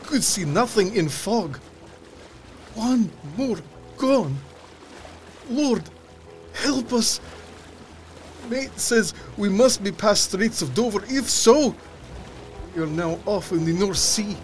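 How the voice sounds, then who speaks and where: An actor playing a weary, fearful sea captain in an audio drama, reading his log in grave, halting, clipped phrases. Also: high-pitched. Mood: despairing. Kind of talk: dramatic first-person log narration.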